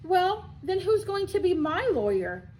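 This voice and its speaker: A woman's voice: very high voice, very animated, measured pace, a little mellow, slight background noise, voice pushed up, heavily acted. A woman voicing a cocky, complaining schoolboy from a children's storybook. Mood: indignant, demanding. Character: indignant, cocky schoolboy who argues and complains.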